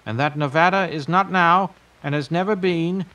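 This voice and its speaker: High-voiced, grandiose old billionaire. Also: male voice, normal speed, sharp tone. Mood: solemn, grandiose. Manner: formal, oratorical, self-important. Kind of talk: prepared speech in an audio drama.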